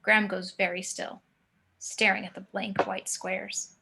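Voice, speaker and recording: Female narrator, bright forward tone, medium pitch, scripted screenplay action reading. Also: level tone, measured pace, creaky, slight background noise.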